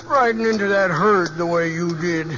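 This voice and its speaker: An actor playing a nosy, shrewd old cowhand in a 1950s radio western, with a folksy American Western drawl. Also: male voice, high voice, normal speed, background noise, lightly acted. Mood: folksy, curious. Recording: muffled vintage radio, loud music bed.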